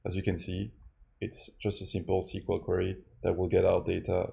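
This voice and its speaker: A man narrating a step-by-step software tutorial as a demo presenter. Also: sits low, flat delivery, fairly quick, gravelly. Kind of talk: scripted tutorial narration over a screen recording.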